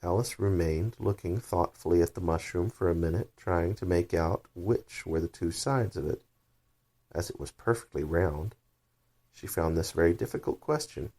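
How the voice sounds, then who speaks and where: Low-voiced man narrating a bedtime story. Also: normal speed, dark tone.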